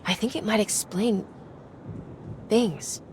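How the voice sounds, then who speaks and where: A woman's voice: mid-range voice, slow pace, a little mellow, slight background noise, lightly acted. Actress as earnest, determined young woman investigator. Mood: concerned, hushed. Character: worried, determined young woman investigating her family's mystery. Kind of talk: video game cutscene acting. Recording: background music under the voice.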